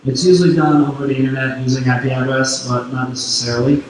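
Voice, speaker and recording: Brief: French-Canadian male conference speaker, laid-back explaining, distant mic, booming hall. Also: fast. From Quebec, Canada. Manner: relaxed, filler-heavy explaining. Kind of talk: technical conference talk. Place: large conference hall on stage. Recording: echoey hall, distant mic, faint noise.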